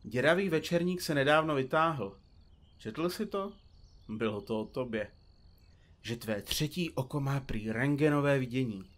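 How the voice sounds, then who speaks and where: A man's voice: medium pitch, lively intonation, close to an everyday voice. Man acting a florid, chatty, teasing detective. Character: chatty, theatrical, teasing male detective dictating to his recorder. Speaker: detective in a comedy mystery podcast. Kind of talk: audio drama acting with florid narration.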